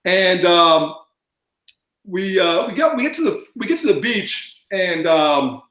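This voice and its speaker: A man chatting as a podcast guest, mumbling and talking fast with lots of fillers. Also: high voice, sing-song, fairly bright tone, reverberant. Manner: rambling with many fillers. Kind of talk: casual podcast chat.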